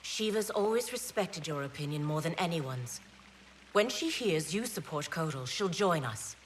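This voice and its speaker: A woman's voice: sits low, expressive, normal speed, a little hiss or hum. An actress playing a confident, pragmatic warrior woman in a video game, with an assured, persuasive delivery. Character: confident, pragmatic female warrior, loyal adviser. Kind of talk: video game cutscene acting.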